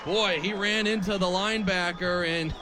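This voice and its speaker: A young man calls American football play-by-play for radio in a very high-pitched voice. His delivery is animated, moving between clipped calls and excited shouts, with a stadium crowd behind him. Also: normal speed. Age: 20s. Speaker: play-by-play sports announcer.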